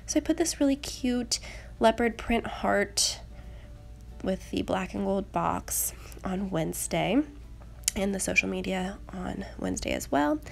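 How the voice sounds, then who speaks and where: Slightly raspy, creaky young woman. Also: mid-range voice, expressive, measured pace, soft background music. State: getting over a rough cold. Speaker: planner channel host. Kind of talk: casual voice-over craft narration.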